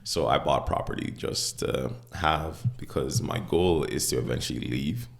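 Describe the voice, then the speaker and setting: A man in his twenties with a deep voice, co-hosting a podcast in a casual, rambling chat from a home kitchen. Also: moderate pace, creaky. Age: 20s. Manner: rambling, full of "like" and "you know". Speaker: podcast co-host. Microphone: speaking close into a mic.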